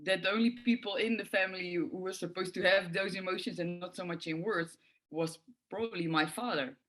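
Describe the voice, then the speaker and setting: A woman's voice: fairly low pitch, brisk, fairly bright tone, rough recording. Woman, Dutch accent, middle-aged, reflective guest, frequent hesitations. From Netherlands. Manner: thoughtful, many filler uhs. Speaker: forgiveness facilitator, guest. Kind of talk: reflective interview answers.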